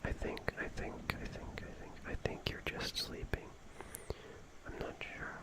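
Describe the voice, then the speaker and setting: An ASMR host speaking in a breathy, unvoiced whisper, soothing and slow, softly repeating words as in a bedtime talk.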